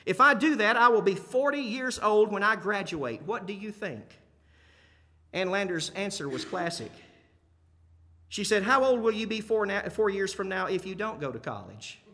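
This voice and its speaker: A male preacher with a fairly high, very bright and forceful voice delivers a sermon in a hall with long reverb. He talks to his listeners conversationally, leaning on key phrases and repeating them for emphasis. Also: expressive, normal speed. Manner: conversational, rhetorical questions to listeners. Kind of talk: sermon to a congregation.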